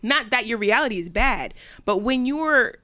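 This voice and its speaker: A woman's voice: a little high, lively intonation, moderate pace, sharp tone. Reflective woman in her twenties. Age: 20s. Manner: reflective, candid. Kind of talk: casual chat.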